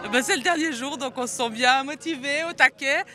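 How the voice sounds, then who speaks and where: A woman's voice: high voice, brisk. A woman taking part in a trek, giving a casual interview outdoors. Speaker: trek participant. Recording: loud crowd and outdoor noise. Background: loud crowd.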